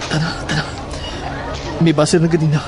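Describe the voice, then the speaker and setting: A man's voice: on the high side, monotone, a little fast, a crowd in the background, lightly acted. A man playing a serious, insistent schoolboy in an audio drama. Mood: earnest, insistent. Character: serious schoolboy eager to uncover family secrets. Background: music.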